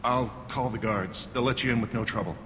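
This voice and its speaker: An actor playing a gruff, cynical alien checkpoint guard in a game, talking in a dry, curt and dismissive way. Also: male voice, medium pitch, fairly quick, close to an everyday voice. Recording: reverberant, with loud background noise.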